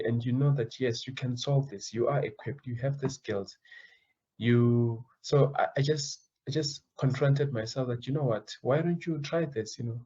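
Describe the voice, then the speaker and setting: A man's voice: medium pitch, dark tone, dull audio. A young man answering interview questions about his path into business, earnest and often repeating words as he searches for phrasing. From South Africa. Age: young adult. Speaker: guest, student entrepreneur.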